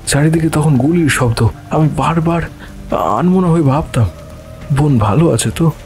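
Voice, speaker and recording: A man's voice: medium pitch, a little mellow, lightly acted. Man acting a grieving, anguished elder brother. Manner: emotional, pleading, halting repetitions. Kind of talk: Bengali horror audio drama acting. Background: music and rain.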